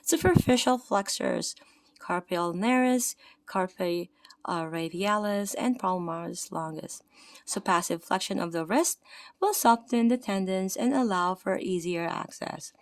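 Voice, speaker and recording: A woman's voice: medium pitch, unhurried, dry recording. A tired woman with a Filipino accent reading an anatomy textbook aloud, correcting her own slips and adding "okay" and "right?". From Philippines. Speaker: anatomy study presenter.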